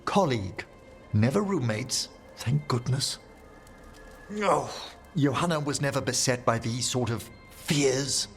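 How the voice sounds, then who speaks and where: An actor playing a refined, anxious older necromancer in a video game, speaking formally and precisely. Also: male voice, mid-range voice, slow, faint music behind. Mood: wistful, fretful.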